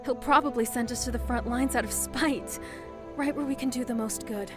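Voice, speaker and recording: Woman as brave young heroine, higher voice. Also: fairly even intonation, normal speed, music in the background, natural voice. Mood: determined, wry. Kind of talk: fantasy audio drama acting.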